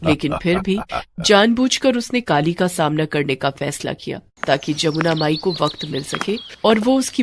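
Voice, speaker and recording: A woman's voice: lowish voice, background noise. A woman narrating a scripted story in a dramatic storytelling style. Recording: music bed behind the voice. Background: music.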